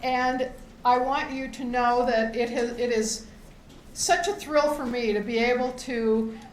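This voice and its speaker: Loud enthusiastic woman addressing a hall. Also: fairly high pitch, measured pace, slight background noise. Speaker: outgoing board member opening a meeting. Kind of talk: speech at a membership meeting. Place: event hall with an audience. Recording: echoey hall with a faint crowd.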